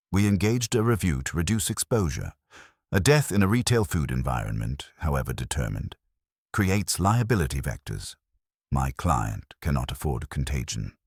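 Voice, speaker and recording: Slick, guarded corporate man read by a male narrator, very low and slightly rough, careful diction, audiobook mystery. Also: very animated, normal speed, no echo, clean studio sound, heavily acted. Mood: smooth, guarded. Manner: smooth, jargon-laden, careful diction. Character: smooth, guarded male corporate consultant, coolly evasive.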